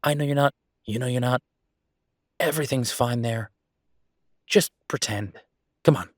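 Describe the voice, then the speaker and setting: A man playing an affectionate, playfully grumbling young werewolf chef in an intimate, casual boyfriend roleplay audio, chatty and halting. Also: mid-range voice, no echo, studio quality, lightly acted. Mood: playful, insistent.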